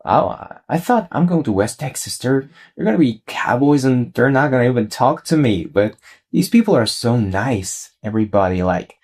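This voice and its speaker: A man's voice: mid-range voice, expressive, normal speed, a little mellow, slightly rough. A young man from Iran with an Iranian accent, talking casually as a singer-songwriter being interviewed. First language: Persian. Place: college radio studio.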